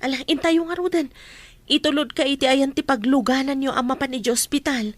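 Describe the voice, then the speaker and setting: A caring, loyal friend, played by a woman in an Ilocano radio drama. Her voice is high, and she speaks earnestly, with open concern. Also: steady tone, brisk, no echo, close to an everyday voice.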